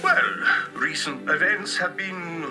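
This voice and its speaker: High-pitched, eccentric scientist. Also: male voice, slow. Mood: pleased, musing. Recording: music playing behind.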